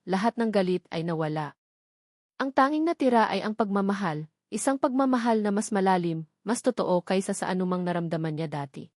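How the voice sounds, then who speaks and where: A woman narrating a romance story in a steady, dramatic reading style. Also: medium pitch, no room sound, studio quality.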